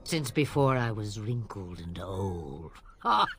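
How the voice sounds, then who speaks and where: A woman's voice: a bass voice, sing-song, takes it slowly, over music, full character voice. An actress playing a sardonic, weary and imperious old witch of the wilds in a fantasy game, with an aged, theatrical delivery. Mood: wistful. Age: older. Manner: theatrical, wry, dismissive. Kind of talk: fantasy video game voice acting.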